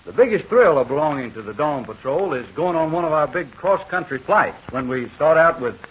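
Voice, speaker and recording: A man's voice: fairly high pitch, animated, brisk, breathy edge. Earnest young man on old radio. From North Carolina. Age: young adult. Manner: polite, earnest. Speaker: guest student pilot. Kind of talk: scripted radio interview. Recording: dull old radio transcription with faint hiss.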